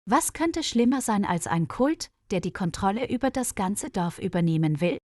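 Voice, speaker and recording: A woman's voice: medium pitch, very animated, moderate pace, mellow, no room sound, studio quality. A woman voicing a brave, curious boy hero with an earnest, determined manner in a storybook. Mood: incredulous.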